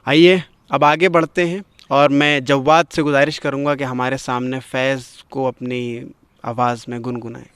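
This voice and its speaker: A man's voice: mid-range voice, little pitch movement, normal speed, fairly bright tone, smooth. A man giving a literary talk and reciting poetry in a declamatory, emphatic way with formal phrasing.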